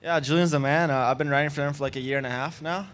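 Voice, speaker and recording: A man's voice: fairly high pitch, fairly even intonation, rapid-fire, fairly bright tone. A man from Panama, a competitive surfer, chatting casually in an interview with laid-back surfer slang.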